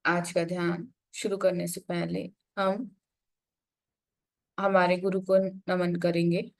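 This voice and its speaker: A woman with a slightly low voice guides a meditation. She gives instructions step by step, leaving frequent pauses between phrases. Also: flat delivery, gravelly.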